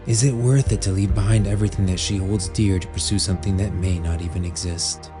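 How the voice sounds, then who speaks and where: A man's voice: low voice, fairly even intonation, a little fast. A man reading scripted storybook narration as the narrator of an audio drama. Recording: music under the voice. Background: background music.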